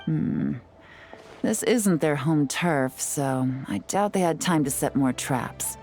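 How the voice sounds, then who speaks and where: A low, composed voice of a female secret agent, calm and measured with quiet confidence. She gives plans without hurry. Faint game music sits underneath. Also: heavily acted. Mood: cool. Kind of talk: video game voice acting.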